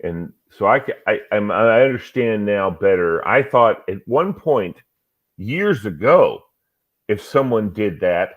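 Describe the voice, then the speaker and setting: A man's voice: fairly low pitch, animated, measured pace. A man hosting a talk show, asking questions in a casual chat and agreeing with his guest as he builds on the answers. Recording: tinny phone-like line.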